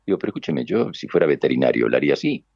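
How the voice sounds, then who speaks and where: An older man from Argentina, a radio announcer with a deep booming voice and an Argentine Spanish accent, telling stories from his life in a relaxed conversation. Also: racing, creaky, no echo, dull audio. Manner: warm reminiscing, acts out quoted voices. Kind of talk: conversational storytelling.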